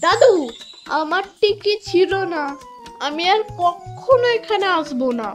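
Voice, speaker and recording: A woman voicing a timid, excitable little village boy in a children's cartoon, nervous and easily rattled. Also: extremely high pitch, slow, soft background music, full character voice. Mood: terrified. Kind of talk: children's moral-story cartoon acting.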